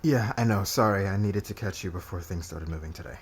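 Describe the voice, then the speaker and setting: A man playing a domineering, bitter boss in an ASMR roleplay, speaking deliberately with intimate menace. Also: low-pitched. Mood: strained, businesslike. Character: domineering, bitter married male boss being blackmailed and seduced. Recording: clear background noise.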